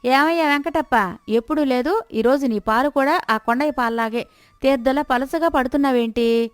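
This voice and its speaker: A woman voicing an angry village customer who scolds and accuses with sharp questions in a moral story. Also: high-pitched, normal speed, no echo, pitched above the performer's own voice, close to an everyday voice. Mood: suspicious, scolding.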